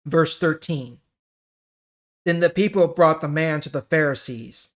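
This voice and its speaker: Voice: mid-range voice, a little slow. A host of a Bible reading series, mixing scripture read aloud with informal, explanatory asides to listeners.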